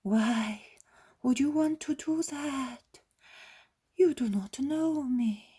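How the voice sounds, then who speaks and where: A woman voicing a thin, grey-haired old woman who speaks in high, wavering, breathy cries. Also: slow, dark tone. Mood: weak, doubtful. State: feverish and weak at first.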